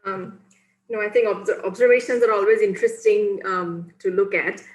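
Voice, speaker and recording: A woman hosting an academic interview, asking measured questions with frequent uh and um. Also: mid-range voice, echoey room, poor audio. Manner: measured, hedging with uh and um. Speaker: host interviewer.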